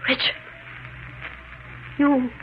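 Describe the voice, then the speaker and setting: An actress playing an anxious, devoted young wife, hesitant and questioning, in a 1950s radio drama. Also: female voice, extremely slow. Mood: frightened. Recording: muffled, noisy vintage radio broadcast.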